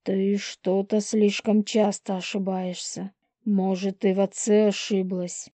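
A woman acts out a cold male werewolf leader in an audiobook. The voice is mellow and level, close and dry. He speaks curtly, with contempt and controlled menace. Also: mid-range voice, little pitch movement, slow, no room sound. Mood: sarcastic. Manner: curt, cold, indifferent. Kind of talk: audiobook character dialogue.

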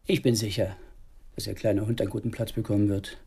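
An actor playing a cold-blooded, coolly polite male hired killer in a radio crime drama, with a husky voice. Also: lowish voice, flat delivery, quick pace, mellow, full character voice. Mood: calm menace.

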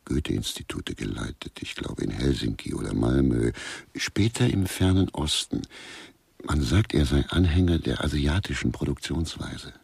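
A grumpy, scornful artist from a radio crime comedy. The voice is very deep and gravel-edged. He grumbles bluntly and with open disdain. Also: lively intonation, moderate pace, darkish tone, full character voice. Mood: sardonic, dismayed. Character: grumpy, scornful male artist who hates modern art.

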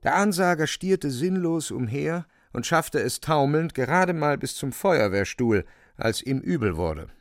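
A man's voice: a little high, expressive, a little slow, no room sound, studio quality. A man narrating a literary radio drama as a dramatic storyteller.

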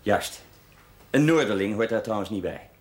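Man playing a low-voiced, plain-spoken works foreman. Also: lively intonation, normal speed, close to an everyday voice. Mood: civil, guarded. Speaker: actor in a television drama. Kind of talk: naturalistic television drama dialogue. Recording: noisy old television soundtrack.

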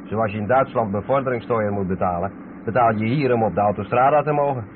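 A man's voice: on the low side, fast talker, natural voice. A man playing a patient, knowledgeable truck driver explaining things in a radio drama. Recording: tinny old radio recording, loud background noise.

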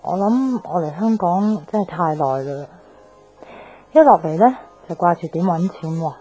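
A mellow young woman voiced by an actress speaks in a radio drama. She is restless and craves a new life, explaining herself conversationally and insistently. Faint music plays behind her. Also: fairly low pitch, animated, normal speed, lightly acted, poor audio. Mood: wistful, insistent. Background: faint background music.

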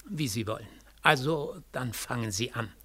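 A man's voice: mid-range voice, lively intonation, moderate pace, bright, forceful tone, slightly rough. A man playing a self-important, patronizing cabaret director in a 1940s German radio drama, theatrical and condescending. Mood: grudging, pompous.

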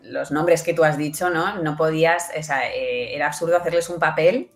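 A woman's voice: deep voice, fairly even intonation, moderate pace, soft background music. A woman giving chatty, emphatic answers as an interview guest, repeating herself for stress. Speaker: guest, audio fiction producer.

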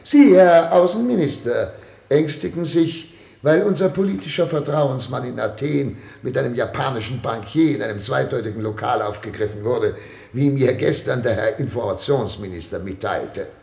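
An actor playing a pompous, fretful head of state in a German radio play, speaking in an oratorical, theatrical way. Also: male voice, medium pitch, darkish tone, close to an everyday voice. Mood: needling, commanding. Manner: pompous, oratorical, theatrical throat-clearing and pauses. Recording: roomy, reverberant radio studio sound.

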